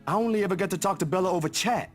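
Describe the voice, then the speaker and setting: A man's voice: high-pitched, rapid-fire, soft background music, close to an everyday voice. An actor playing an earnest, level-headed young man pleading with his friends in a visual novel game. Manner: pleading, conciliatory.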